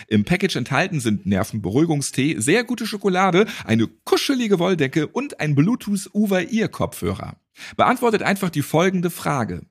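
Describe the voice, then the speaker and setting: A man's voice: a little high, slightly rough. A man hosting a podcast, giving a scripted promotional read. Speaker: podcast host reading an ad.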